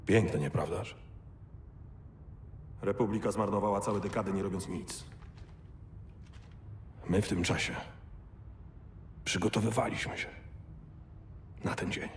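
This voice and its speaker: Deep-voiced, vengeful military villain. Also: male voice, a little hiss or hum. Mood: gloating. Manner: slow-burning, gloating, theatrical. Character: bitter, menacing male military villain seeking revenge. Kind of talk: dramatic video game acting.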